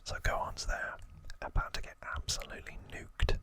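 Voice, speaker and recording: An ASMR host whispering a casual recap in a halting way, with asides. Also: bright, forceful tone.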